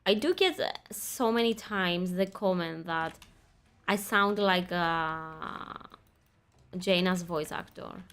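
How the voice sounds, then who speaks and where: A woman's voice: on the low side, lively intonation, takes it slowly, fairly bright tone, clean tone. A young woman streaming a game, chatting casually with her viewers, laughing easily and reading quest text aloud. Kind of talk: casual gaming commentary.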